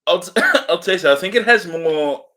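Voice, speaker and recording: A man of about forty with a bright, slightly high voice. He co-hosts a film review and talks in a casual, conversational way. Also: animated, fairly quick. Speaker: co-host of a film review show. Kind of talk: casual chat.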